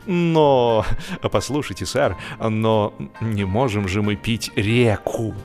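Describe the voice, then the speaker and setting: A man acting a halting, over-polite gentleman. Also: fairly low pitch, faint music behind. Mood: bewildered. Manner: halting, mumbling, overly courteous. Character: polite, hesitant, slow-witted young gentleman on holiday.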